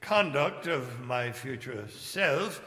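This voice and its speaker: An actor playing a fearful, repentant old miser in a stage radio play, stammering and pleading in theatrical tones. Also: male voice, mid-range voice, animated, sharp tone. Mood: bewildered, earnest. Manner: halting, stammering, earnest and theatrical. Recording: echoing live hall stage recording.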